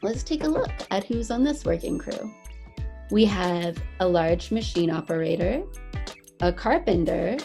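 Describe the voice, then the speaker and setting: Female children's teacher, lively read-aloud with swooping intonation and some vocal fry, faint music behind. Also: on the low side, measured pace. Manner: animated, encouraging, explains things simply to children. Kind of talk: picture book read-aloud for young children.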